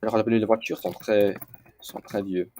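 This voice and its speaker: A man with a fairly low voice talks through exercises offhandedly, muttering his thoughts as he works. The recording has noticeable background noise, with keys and clicks behind him. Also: steady tone, a little fast, darkish tone. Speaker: host of a language-learning series. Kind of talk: casual commentary while doing lessons on screen. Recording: clearly noisy home recording.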